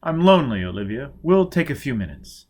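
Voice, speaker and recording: A composed, patrician father from an audiobook, acted by a man. He speaks formally at a middle pitch, protective yet admonishing, with measured authority and varied intonation. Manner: calm, formal, gently admonishing.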